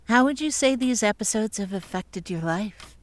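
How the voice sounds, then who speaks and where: A woman acting a calm, clinical psychiatrist of sixty in an audio drama, measured and probing. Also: medium pitch, lightly acted. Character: sixty-year-old female psychiatrist, impassive, measured, probing.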